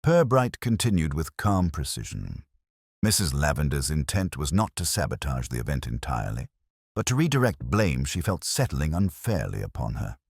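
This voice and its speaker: A man's voice: very deep voice, big pitch swings, moderate pace, gravelly, husky, no echo, clean studio sound. A man giving scripted narration of a village mystery story.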